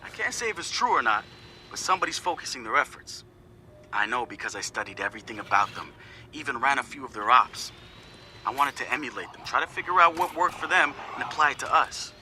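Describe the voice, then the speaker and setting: An actor playing a confident young hacker activist, recording a casual, slangy, wry audio log for a video game. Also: male voice, mid-range voice, animated, fairly quick, sharp tone, slight background noise. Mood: determined. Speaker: hacker group leader narrating audio logs.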